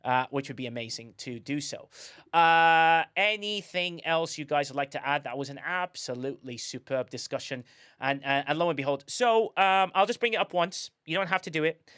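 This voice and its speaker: A man's voice: a little high, normal speed, a bit bright, smooth, no echo, studio quality. A man hosting a livestream, chatting casually in a rambling way and often correcting himself as he goes. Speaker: livestream host.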